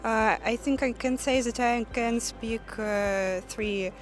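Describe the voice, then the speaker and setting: A young woman answers in measured English, pausing with fillers as she thinks. Her voice sits slightly high, and music plays clearly behind her. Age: young adult. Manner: thoughtful, measured, with filler pauses. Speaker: translation student. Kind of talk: casual street interview answers.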